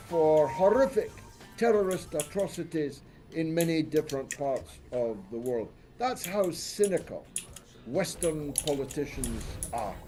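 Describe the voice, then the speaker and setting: An older man giving political commentary as an interview guest, speaking emphatically with rhetorical lists and questions. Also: on the high side.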